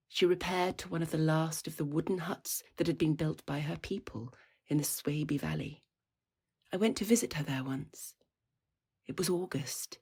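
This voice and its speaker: A woman playing a reflective older storyteller in an audio drama, narrating her past in measured, old-fashioned language. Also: sits low, a little mellow, breathy edge. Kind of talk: literary first-person narration.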